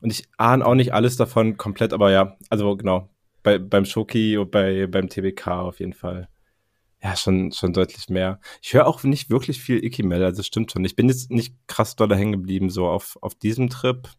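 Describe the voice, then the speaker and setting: Male podcast co-host, notably low register, dry close sound, casual slangy music chat. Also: moderate pace, darkish tone, no room sound. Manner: slangy, colloquial German youth speech.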